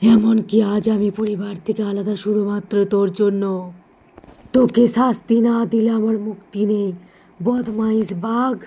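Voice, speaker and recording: A woman's voice: medium pitch, flat delivery, moderate pace, gravelly, mellow, husky. Vengeful male cartoon spirit, menacing and accusatory, voiced by a woman. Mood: menacing, accusing. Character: vengeful male ghost inside a jackfruit. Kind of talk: cartoon story voice acting.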